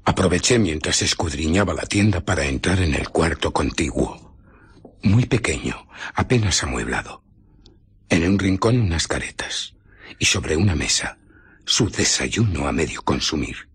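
An actor playing an embittered invisible scientist in a radio drama, recounting furtive exploits with deliberate, dramatic pauses and a breathy voice that slips into whispers. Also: male voice, a bass voice, animated, fairly bright tone, exaggerated voice. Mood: furtive, wary. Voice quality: husky, breathy voice slipping into whispers. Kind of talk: radio drama narration and dialogue.

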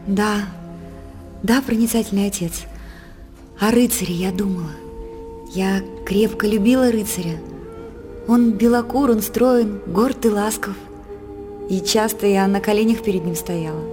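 An actress playing a young, devout and dreamy princess in a radio drama, speaking in a heartfelt, formal theatrical way. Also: female voice, mid-range voice, a little slow, long pauses, over music, close to an everyday voice. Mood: dreamy, tender. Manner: heartfelt, declamatory, formal verse-like phrasing. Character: young princess daughter, devout, dreamy, earnest yet proud.

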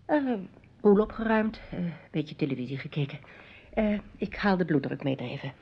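An actress playing a doctor's guarded, quietly reproachful wife in a radio play, terse and understated. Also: female voice, fairly low pitch, lively intonation, normal speed, breathy edge. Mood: guarded, attentive. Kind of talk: Dutch radio play dialogue. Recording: dull lo-fi radio play recording.

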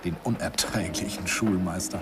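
Brief: male actor as a low, expressive, wistful narrator, hissy old tape. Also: moderate pace, natural voice. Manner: wistful storytelling, chuckling. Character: older man fondly recalling youthful romance. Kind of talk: 1950s radio play narration. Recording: hissy old radio recording.

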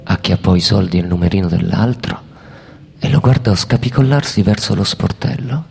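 A man's voice: sits low, normal speed, gravelly, a little mellow, a little hiss or hum. A man delivering theatrical scripted narration as a storyteller. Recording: reverberant hall. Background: music.

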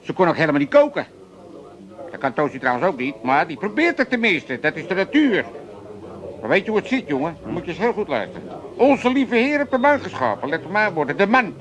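A gluttonous, old-fashioned father in a comic radio play. His voice is high and swings animatedly as he blusters and preaches, complacent and bossy. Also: very animated, a little fast, fairly bright tone, low-quality recording. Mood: smugly preachy. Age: older.

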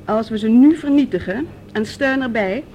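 An actress playing a distrustful, stubborn doctor who starts hesitantly and argues insistently, in a science-fiction radio drama. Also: female voice, on the high side, moderate pace, dark tone, natural voice. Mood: indignant. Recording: noisy old radio drama recording.

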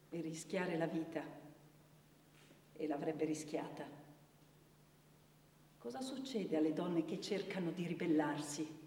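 A woman's voice: lowish voice, warm tone, a little hiss or hum. An actress playing an impassioned, indignant woman lawyer in a radio play, speaking in an emphatic, rhetorical style with pointed repetitions. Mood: grave, pleading. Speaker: stage actor. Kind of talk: theatrical courtroom oration and dramatic recitation. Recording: echoey hall, distant microphone.

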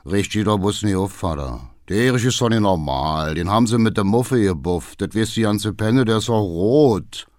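A man's voice: fairly low pitch, animated, moderate pace, few pauses, darkish tone, no echo, clean studio sound, close to an everyday voice. A man doing a snotty Berlin schoolboy. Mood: flippant. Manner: flippant, know-it-all. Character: precocious, snotty Berlin schoolboy, younger brother.

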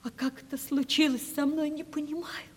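An actress playing an anguished, resigned young woman in love in a 1970s Soviet radio play, hushed and breathy. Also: high voice, little pitch movement, normal speed, slight background noise, close to an everyday voice. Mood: aching tenderness. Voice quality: breathy, husky. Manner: heartfelt, plaintive, hushed. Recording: old radio play with long reverb.

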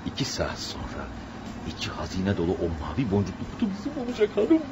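An actor playing a greedy brother-in-law with sly, theatrical swings in a radio comedy. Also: male voice, low voice, wildly swooping pitch, fast, full character voice. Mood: scheming. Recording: muffled old radio recording with clear hiss.

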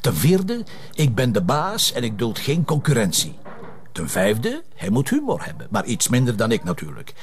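Stubborn police detective, Flemish Belgian, very animated intonation, sardonic, confrontational, naturalistic TV drama. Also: male voice, mid-range voice, normal speed. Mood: mocking. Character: stubborn, sarcastic male detective, indignant and cocky. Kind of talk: naturalistic TV crime drama acting.

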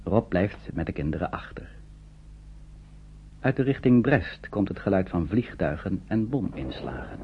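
An actor serving as narrator of a wartime Dutch radio play, reading scripted narration in a measured manner. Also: male voice, deep voice, very animated. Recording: dull, slightly noisy old radio recording.

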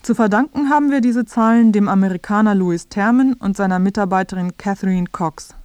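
A woman narrates a radio feature from a prepared script. Her voice sits at a medium pitch. The sound is dry, with hardly any room around it. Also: fairly quick, a little mellow, clear, resonant tone, no echo.

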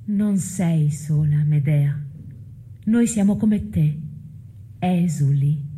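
Dark, breathy, sombre exile. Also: female voice, deep voice, very slow, full character voice. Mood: sombre consoling. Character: voice of fellow exiles, consoling, sombre, resigned. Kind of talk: theatrical choral address.

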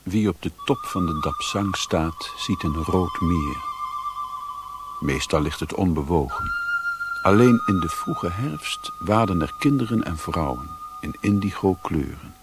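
An actor playing a solemn storyteller, narrating a literary radio drama in a deliberate manner. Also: male voice, deep voice, animated, over loud music.

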